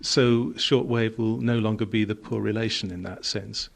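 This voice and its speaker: A man's voice: on the low side, fairly even intonation, moderate pace, vocal fry. A man interviewing guests on radio in a conversational, inquisitive and informal way.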